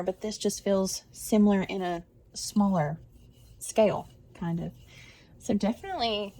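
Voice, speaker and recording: A woman's voice: medium pitch, darkish tone, slight background noise. An older woman, chatting casually about her stitching with many restarts and repeats. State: congested. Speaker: embroidery vlogger.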